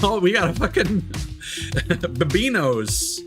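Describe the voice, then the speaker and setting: A man's voice: sits high, big pitch swings, takes it slowly. A man giving casual, rambling, enthusiastic video game commentary as a livestreamer, swearing freely. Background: game music.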